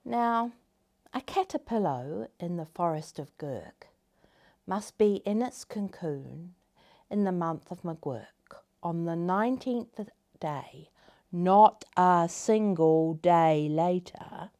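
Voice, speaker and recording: A woman reading a children's story aloud in an expressive, dramatic manner, dropping into hushed tones for effect. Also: on the low side, slow.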